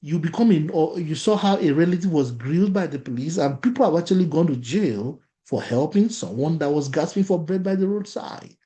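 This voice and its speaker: A man's voice: a little high, expressive, a little fast, few pauses, mellow. A man with a Nigerian accent, a native Yoruba speaker, leading an online workshop and often asking the audience questions. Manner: repeats phrases, asks the audience questions. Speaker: workshop facilitator. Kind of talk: interactive online workshop talk.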